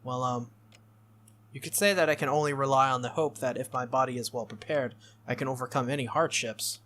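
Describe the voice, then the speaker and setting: Teenage boy lead, played by a man: earnest, hesitant, halting, bright voice, visual novel. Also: medium pitch, steady tone, close to an everyday voice. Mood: resigned. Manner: halting, uncertain.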